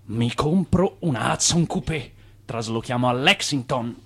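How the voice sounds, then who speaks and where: A man's voice: medium pitch, animated, measured pace, gravelly, fairly bright tone, husky, full character voice. An actor playing a self-assured, scheming businessman in an Italian radio play, curt and commanding. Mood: confident haggling. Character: self-assured, scheming male nouveau-riche businessman and boss.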